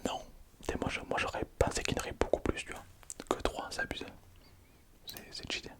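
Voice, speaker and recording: A young man whispering playfully through an ASMR video, reading comments aloud in casual slang and laughing often. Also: bright, forceful tone. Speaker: ASMR content creator.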